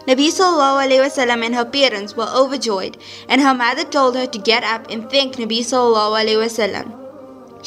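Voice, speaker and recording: A woman's voice: a little high, fairly bright tone, close to an everyday voice. A woman playing an eager schoolgirl in a religious class in an audio drama. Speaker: student. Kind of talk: scripted audio drama, reading aloud.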